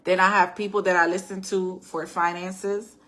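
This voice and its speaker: A woman with a bright, forward voice and a hint of rasp talks loosely and personally to her viewers. She is middle-aged and chatty, with frequent restarts and check-ins like "right?". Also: on the low side, flat delivery, normal speed. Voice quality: raspy at times. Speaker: faith and life-planning vlogger. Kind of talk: casual personal storytime talk.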